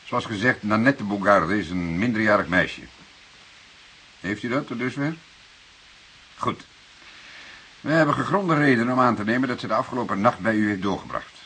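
Deep-voiced, dryly sardonic police detective. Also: male voice, animated, sharp tone, rough recording. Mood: stern, sardonic. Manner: deliberate, dryly sardonic, formal. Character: male senior police detective, dry, probing, unhurried authority. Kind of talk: Dutch radio drama acting. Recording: hissy old radio play recording.

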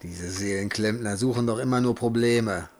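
An actor playing a hard-bitten, hot-tempered special agent in an amateur horror radio play. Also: male voice, fairly low pitch, moderate pace, close to an everyday voice. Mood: brooding, tense.

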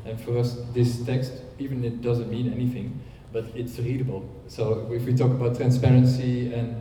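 A man presenting a project at a seminar, speaking politely and hesitantly with many pauses and ums. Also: mid-range voice, flat delivery, mellow, slight background noise. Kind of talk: seminar talk. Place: seminar room. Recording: distant mic in an echoey hall.

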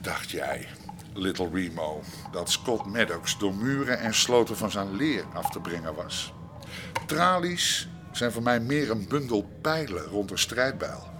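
An actor playing a boastful, messianic convict who preaches grandly and talks about himself by name in a radio play. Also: male voice, lowish voice, lively intonation, a little slow, over music. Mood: haughty, preaching. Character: burn-scarred, boastful, messianic male prisoner with fascist leanings. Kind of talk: Dutch radio play acting. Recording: noisy radio drama recording.

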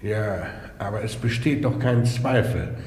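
An actor playing a reserved, methodical police inspector in a radio drama, speaking in a measured, laconic way. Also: male voice, on the low side, darkish tone, lightly acted. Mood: methodical, dry. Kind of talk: 1950s German radio play acting. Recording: echoey, faintly hissy 1950s radio recording.

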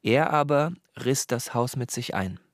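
A man's voice: mid-range voice, animated, measured pace, no echo. Dry-recorded man reading literary prose. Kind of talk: scripted literary narration.

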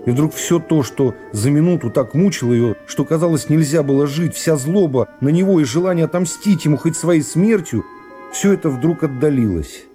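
A middle-aged man reading classic prose as an expressive dramatic narration for a radio serial. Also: fairly high pitch, normal speed. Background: music.

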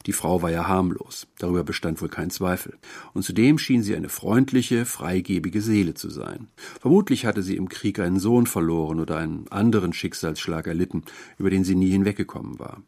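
A man's voice: sits low, a little fast, gravelly, a little mellow. A man reading a literary story as narrator, in scripted narration.

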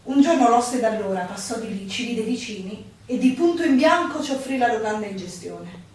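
A proud, world-weary innkeeper in a radio play. Her voice is on the high side, measured and dismissive as she admonishes. It sounds distant, in a strongly reverberant room. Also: expressive, darkish tone. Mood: wistful. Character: proud, world-weary married woman who runs an inn. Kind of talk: Italian radio drama acting. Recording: echoey room, distant mic, faint noise.

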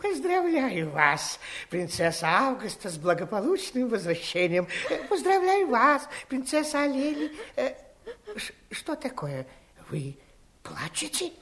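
A fussy, fawning court official in a fairy-tale radio play. The voice is high and wildly swooping, drawn out with pompous ceremony and easily flustered. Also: female voice, very animated, measured pace, fairly bright tone. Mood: ceremonious, fawning.

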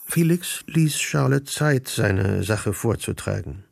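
Creaky-voiced male radio drama narrator. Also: lowish voice, animated, dry recording, clean studio sound. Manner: measured, storytelling.

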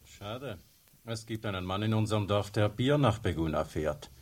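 Radio play casting: a blunt village labourer, low-pitched, resentful, grumbling. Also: male voice, lively intonation, moderate pace, darkish tone. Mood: grumbling, curious. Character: plain-spoken, resentful village working man.

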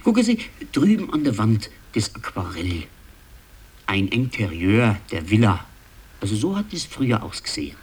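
A man playing a rambling, excitable land registry clerk and genealogy buff in a radio play, with a husky voice and a halting, insistent delivery. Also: fairly low pitch, a little hiss or hum. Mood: eager, pedantic. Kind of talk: conversational radio play acting.

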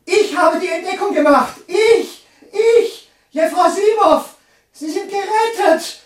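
An actor playing an agitated, eccentric male scientist haunted by war in a radio play, with a husky voice and an intense manner. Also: very high-pitched. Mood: frantic, insistent. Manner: slow, intense, swinging from cries to hushed brooding. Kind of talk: dramatic radio play acting.

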